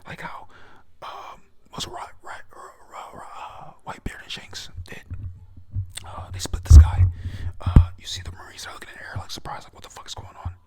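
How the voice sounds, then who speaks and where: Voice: moderate pace, dark tone. A voice whispering a casual, profane recap of an anime episode, often stumbling over names and restarting sentences. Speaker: recap narrator.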